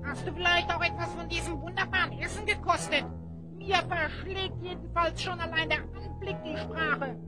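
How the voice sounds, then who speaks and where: A small, chattering fantasy sidekick in an audio drama. The voice is extremely high and squeaky. It grumbles cheekily and sounds naive. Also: steady tone, moderate pace, over loud music, a loud crowd. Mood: cheeky. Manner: chattering, complaining.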